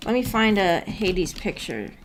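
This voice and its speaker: A woman's voice: mid-range voice, very animated, a little slow, background noise. A woman hosting a casual livestream, rambling and thinking aloud in a laid-back way as she chats with viewers. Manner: reads viewer comments aloud and replies. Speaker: livestream host.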